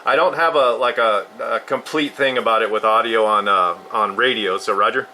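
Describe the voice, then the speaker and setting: A man's voice: fairly low pitch, fairly even intonation, normal speed. A man chatting casually as a radio hobbyist, agreeable and a little hesitant in his phrasing. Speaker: radio hobbyist recording the contact. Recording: clear background radio noise and clicks.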